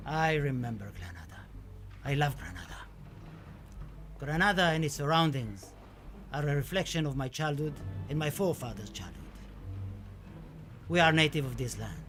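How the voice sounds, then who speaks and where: A man's voice: on the high side, a bit bright. An actor playing a passionate, wistful Spanish poet looking back on his life and death in a theatrical, declamatory radio play monologue. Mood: nostalgic, fateful. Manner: dramatic, declamatory, lingering over poetic phrases.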